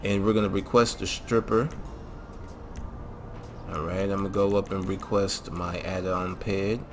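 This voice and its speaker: Casting: male gaming channel host, low voice, slangy and laid-back walkthrough commentary, music underneath. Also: level tone, a little slow. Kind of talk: casual video game mod tutorial commentary. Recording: music behind the voice.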